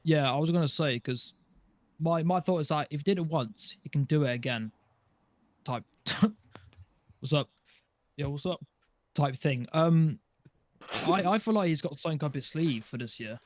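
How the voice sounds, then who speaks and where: A man's voice: on the high side, moderate pace, no echo. Man chatting casually, dry close sound. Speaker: podcast panelist. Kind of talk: casual chat.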